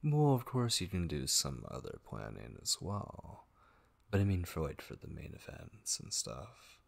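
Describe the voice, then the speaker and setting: A man playing a tender, devoted young gamer boyfriend in an ASMR roleplay, speaking softly, intimately and hesitantly with a husky voice. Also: low-pitched, huge pitch swings, a little slow, heavily acted. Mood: casual, attentive. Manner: soft, hesitant, intimate, with murmured asides. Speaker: ASMR voice actor.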